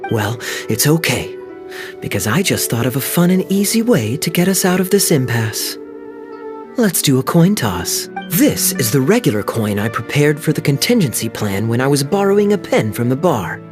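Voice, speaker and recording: An actor playing a sly, charming young knight and playful schemer in a video game cutscene, speaking in a smooth, teasing, knowing way. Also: male voice, mid-range voice, big pitch swings, moderate pace, close to an everyday voice. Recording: faint game music behind.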